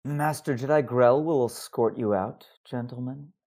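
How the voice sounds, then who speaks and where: A man playing a calm, measured leader with mystical powers in a sci-fi audio drama, his voice gravelly and husky. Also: mid-range voice, close to an everyday voice. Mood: calm, probing. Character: calm, measured Force-wielding leader and ally.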